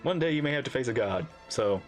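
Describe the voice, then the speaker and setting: A woman streamer giving casual live reaction commentary on a game, with excitable, playful asides. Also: rumbling low, quick pace, music in the background.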